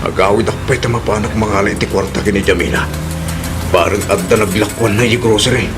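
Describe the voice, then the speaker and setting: A man's voice: on the low side, steady tone, brisk, music in the background, close to an everyday voice. A man playing a shifty, brazen grown son who demands money, in an Ilocano radio drama. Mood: dismissive, low.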